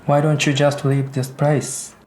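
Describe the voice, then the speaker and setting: A man's voice: a little high, completely flat delivery, unhurried, darkish tone, close to an everyday voice. A man playing a tender, resolute aging soldier in love in a radio drama. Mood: earnest.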